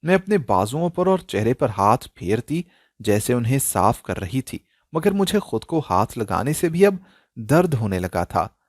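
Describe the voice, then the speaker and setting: Animated male storyteller, dry close sound. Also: medium pitch, unhurried, no room sound. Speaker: story narrator. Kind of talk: dramatic scripted first-person story narration.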